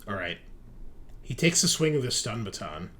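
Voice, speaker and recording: A man's voice: lowish voice, big pitch swings, normal speed, a bit bright. Man running a tabletop game, noisy recording. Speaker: game master. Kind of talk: explaining tabletop game rules. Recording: clearly noisy background.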